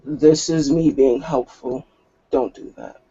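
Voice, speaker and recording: A man's voice: a little high, takes it slowly, dark tone, slightly rough, lo-fi sound, exaggerated voice. A man playing a menacing, condescending werewolf elder in a drawling, taunting manner. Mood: taunting, threatening.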